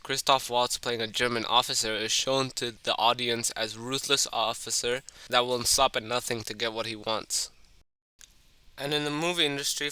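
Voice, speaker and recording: A man's voice: mid-range voice, bright, forceful tone, clear, resonant tone, dry recording, low-quality recording. A man narrating a scripted film analysis as a video essayist, addressing the viewer in a conversational way.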